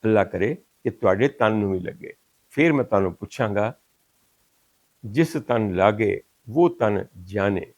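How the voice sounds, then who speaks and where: An older man from Pakistan with a Pakistani Urdu accent, hosting a talk show and reflecting on a poet, sometimes reciting Punjabi verses slowly and with emphasis. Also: fairly low pitch, animated, a little mellow, no room sound. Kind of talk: reflective monologue.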